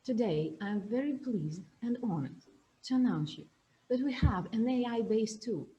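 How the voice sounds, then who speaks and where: A woman's voice: medium pitch, mellow, rough recording. A woman making a formal, scripted announcement to introduce a team. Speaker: client representative introducing the team.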